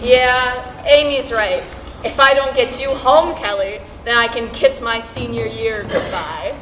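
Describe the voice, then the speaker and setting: High, slow-paced modest schoolgirl played by a woman. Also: lightly acted. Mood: mild, modest. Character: modest, well-liked high school homecoming queen. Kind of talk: amateur school stage play acting. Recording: echoey stage with clear noise.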